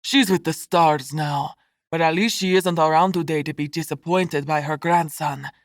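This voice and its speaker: A woman's voice: low-pitched, fairly even intonation, normal speed, no room sound, studio quality, natural voice. A woman voicing a stern, scornful middle-aged man with a Spanish accent in an audiobook, hollow and precisely enunciated. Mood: cold, disdainful.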